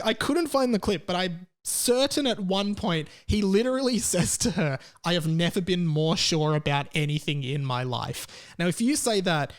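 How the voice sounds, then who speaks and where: An Australian man hosting a podcast solo in a casual, rambling, irreverent comedic style. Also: on the high side.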